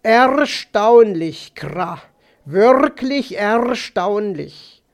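A man performs a formal old-fashioned storybook raven, male and baffled. The speech is high and very drawn out, with creaky caws between phrases. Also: animated, extremely slow, exaggerated voice. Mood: puzzled.

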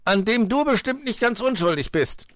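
A man's voice: high voice, expressive, normal speed, a bit bright, no room sound, voice pushed up. A man voicing a grumpy, hot-tempered fox in a storybook, scolding in exasperation. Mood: furious. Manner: scolding, exasperated, old-fashioned exclamations.

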